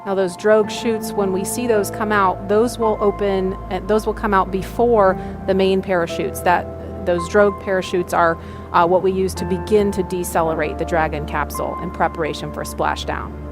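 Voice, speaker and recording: A woman's voice: mid-range voice, steady tone, normal speed, music in the background. A woman hosting a live launch broadcast, commentating and raising her voice to carry over the room. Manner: raising voice over cheering crowd. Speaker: launch webcast host. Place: indoor room with cheering crowd.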